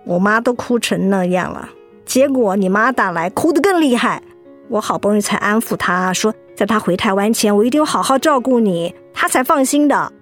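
An actress with a Taiwanese accent playing a blunt, softhearted young woman who nags her best friend in a radio drama. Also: medium pitch, animated, normal speed, close to an everyday voice. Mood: nagging. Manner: blunt, nagging, exasperated. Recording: music behind the voice.

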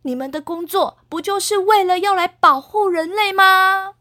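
A woman's voice: very high-pitched, moderate pace, bright, forceful tone, pitched above the performer's own voice. A woman voicing an old grandmother Earth spirit in a children's story, pleading with two quarrelling heroes.

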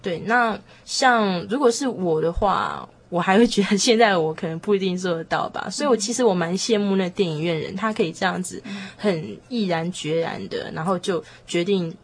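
A woman's voice: fairly low pitch, moderate pace, few pauses, slightly rough. A woman with a Taiwanese Mandarin accent sharing thoughts in a casual, tentative, reflective way as a panelist. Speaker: discussion panelist.

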